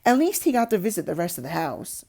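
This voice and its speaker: A woman reads a storybook aloud as narrator. Her voice is soft-edged and mellow, and her pitch moves around a lot as she tells it expressively. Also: very animated, fast. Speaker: audiobook narrator.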